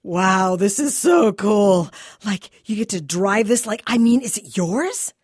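A woman's voice: mid-range voice, animated, unhurried, husky, dry recording, clean studio sound, voice pushed up. A woman voicing a gangly, nervous teenage boy bag clerk who stutters and whose voice cracks. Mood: awed.

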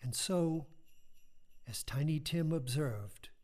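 Expressive, unhurried storybook narrator. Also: male voice, on the high side, a little hiss or hum. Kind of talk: theatrical radio play narration.

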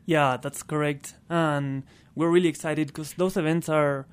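A man with an Argentine Spanish accent and a clean, smooth voice speaks as an interview guest. His pitch sits a little high and stays fairly even. He talks casually and often says "you know.". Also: measured pace. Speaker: interview guest, clean transportation programme worker.

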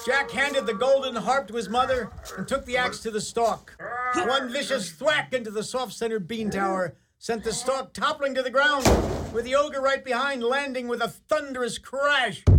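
Narrator, male, high-pitched: dramatic, wry storybook delivery for radio drama, noisy background. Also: unhurried. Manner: theatrical, wry.

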